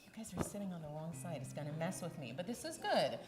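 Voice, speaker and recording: A woman leading a synagogue service, welcoming people in a warm, chatty way and laughing easily. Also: on the low side, sing-song, fast talker, over music. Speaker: service leader. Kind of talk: welcoming a congregation. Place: synagogue sanctuary. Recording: hall reverb, live broadcast.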